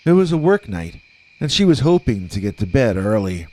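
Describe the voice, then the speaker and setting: A man narrating a scripted first-person scary story in a measured, conversational storytelling manner. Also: fairly low pitch, sing-song, a little mellow, poor audio.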